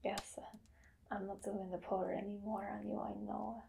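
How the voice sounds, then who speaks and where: A woman playing a menacing, obsessive female vampire hunter in a whispered ASMR roleplay, slow and deliberate. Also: mid-range voice, level tone, gravelly. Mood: coolly menacing. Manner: slow, hushed, deliberate, dramatic pauses. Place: small dim room indoors.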